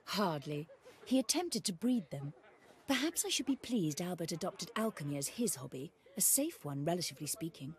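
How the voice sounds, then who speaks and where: A woman's voice: fairly low pitch, very animated, normal speed. A woman playing a composed older noblewoman in a fantasy video game, formal and polite with a dry wit. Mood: wry, resigned. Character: genteel, composed older noblewoman, wry widowed mother. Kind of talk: video game character acting.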